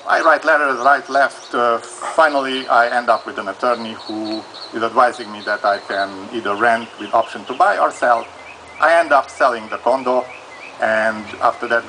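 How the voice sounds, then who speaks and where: A man from Transylvania with a Hungarian accent, telling a long, halting legal story at a live law school event. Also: mid-range voice, sharp tone, slight background noise, low-quality recording. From Transylvania, Romania. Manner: long-winded, halting, with many fillers. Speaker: audience member asking a legal question. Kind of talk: live call-in style legal question.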